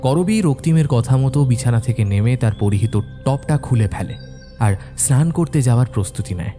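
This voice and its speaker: A male narrator reads a scripted horror story. His voice sits at a medium pitch, and music plays clearly underneath. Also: lively intonation, moderate pace, mellow.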